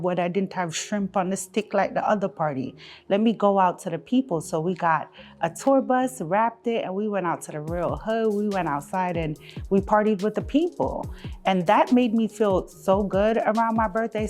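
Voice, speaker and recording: A woman's voice: medium pitch, a little mellow. Conversational woman over music. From Jamaica. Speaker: music artist being interviewed. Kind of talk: casual interview answers. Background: background music.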